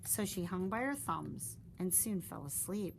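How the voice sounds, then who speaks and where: A woman reading a children's picture book aloud with expressive, animated narration. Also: fairly low pitch, unhurried, creaky, slight background noise, poor audio. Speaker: storyteller.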